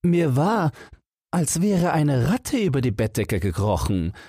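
A man's voice: a little high, sing-song, unhurried, dark tone, breathy edge, dry recording, studio quality, pitched above the performer's own voice, full character voice. A man voicing a cheeky, cunning young fairy-tale lad with a lilting, cocky delivery. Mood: cheerful, sly.

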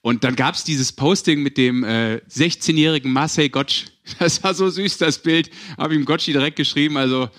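A man's voice: fairly high pitch, normal speed, few pauses, a bit bright. Man chatting casually as podcast host. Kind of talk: casual sports chat.

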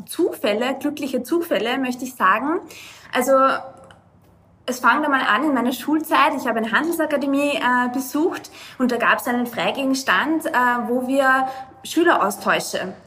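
A young woman from Lower Austria with an Austrian accent, telling her story as a podcast guest over a video call, with many hesitations. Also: fairly bright tone. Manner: hesitant, many filler sounds. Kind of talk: conversational storytelling.

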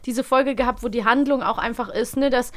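A woman's voice: on the high side, fairly even intonation, a little fast. A woman co-hosting a podcast in a casual, conversational style. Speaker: podcast co-host. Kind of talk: casual chat.

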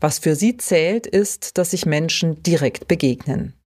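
A woman hosting a podcast, reading scripted narration in a clear, even way. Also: low voice, measured pace. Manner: clear, even read-aloud delivery. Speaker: podcast host.

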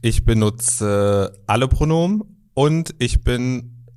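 A man's voice: medium pitch, lively intonation, slow, a little mellow, clean tone. A man around thirty, speaking thoughtfully and a little hesitantly as a guest on an interview podcast. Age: 30s. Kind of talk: conversational interview. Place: in a shop, indoors.

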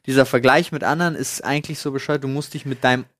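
A man's voice: mid-range voice, steady tone, fast, a bit bright, no echo, clean studio sound. A man chatting casually as a podcast co-host, debating in informal, slangy language. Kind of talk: casual chat.

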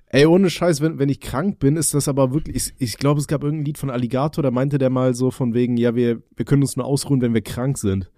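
Mellow-voiced young man, rambling. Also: medium pitch, steady tone, fast talker, few pauses, no echo, clean studio sound. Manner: rambling, stammering restarts, joking. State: recovering from a cold. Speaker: podcast co-host. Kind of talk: casual chat.

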